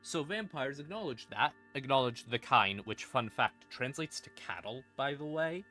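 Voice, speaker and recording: A man's voice: mid-range voice, lively intonation, moderate pace, pushed and bright. A man explaining lore on a stream in a casual, unscripted, irreverent way, swearing freely. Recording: background music under voice. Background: ambient music.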